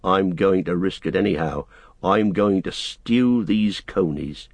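A man's voice: sits low, a little slow, husky, dry recording, heavily acted. A man voicing a plain-spoken, bossy but loyal hobbit in an audiobook, with blunt, homely, rustic speech. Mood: stubborn.

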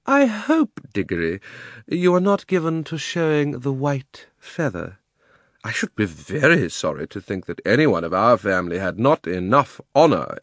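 A man's voice: mid-range voice, dry recording, studio quality, voice pushed up. A man voicing a pompous, cruel old uncle who is a self-important magician in a storybook, with a haughty, indignant, condescending manner. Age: older. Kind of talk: dramatised storybook reading.

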